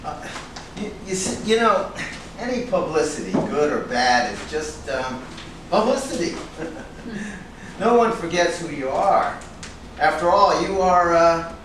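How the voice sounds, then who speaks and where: Echoey noisy room, a man playing a fretting pushy agent, high-pitched, halting, stagey drama delivery. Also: fairly bright tone, far from the mic. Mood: flattering, evasive. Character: pushy, fretting male talent agent, accusing then flattering. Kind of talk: staged play reading. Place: room at a live staged reading.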